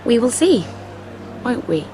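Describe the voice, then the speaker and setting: A woman's voice: a little high, very animated, takes it slowly, warm tone, slight background noise, rough recording. A woman playing a petty, resentful young handmaiden in a video game drama, pointed and needling in manner. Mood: smug, needling. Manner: passive-aggressive, pointed, needling. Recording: music behind the voice.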